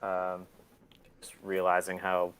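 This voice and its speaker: A man's voice: sits low, monotone, slow pace, fairly bright tone, smooth. A man chatting casually as an interview guest, candid, profane and self-deprecating, telling rambling stories and acting out quotes. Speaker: guest, collector.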